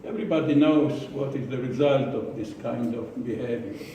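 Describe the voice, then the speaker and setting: A man with an Israeli accent giving a prepared speech to a club, slow and deliberate, with pauses and repeated words. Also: mid-range voice, dark tone, lo-fi sound, a little hiss or hum. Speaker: club speaker. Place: meeting room. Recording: echoey room from far off.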